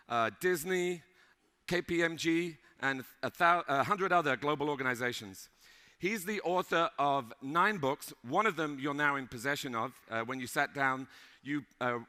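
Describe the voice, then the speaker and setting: A man emceeing an event and introducing the keynote speaker, halting with many fillers, in a large hall. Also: on the high side, sharp tone. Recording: live mic in a large hall with long reverb.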